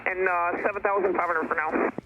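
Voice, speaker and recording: A woman with an American accent working as an air traffic controller, giving brisk instructions over aviation radio. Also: lowish voice, steady tone. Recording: muffled air traffic radio, faint noise.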